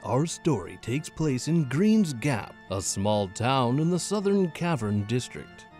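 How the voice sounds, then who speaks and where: A man's voice: medium pitch, very animated, slow, darkish tone, soft background music. A man narrating a mystery as an old-time radio announcer.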